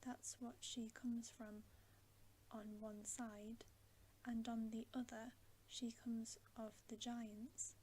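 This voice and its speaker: A stern, loyal talking beaver from a storybook , put on by a woman. He speaks in a slow, earnest hush, stressing his words, with a soft mellow tone. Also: on the high side, little pitch movement, a little hiss or hum. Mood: grave, warning. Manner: emphatic, earnest, hushed. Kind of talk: whispered storybook character reading.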